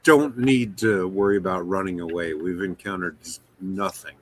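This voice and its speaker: A man's voice: sits low, moderate pace, crowd noise. A man chatting casually as a player in a tabletop game over an online call.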